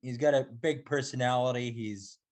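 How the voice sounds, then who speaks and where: A man co-hosting a podcast, setting up a question slowly and descriptively. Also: medium pitch. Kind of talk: casual interview.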